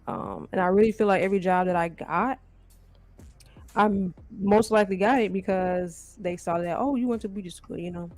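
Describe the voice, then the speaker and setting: A woman's voice: mid-range voice, moderate pace, a little mellow. A woman guest chatting casually, speaking in a candid, informal way. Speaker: show guest. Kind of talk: casual chat.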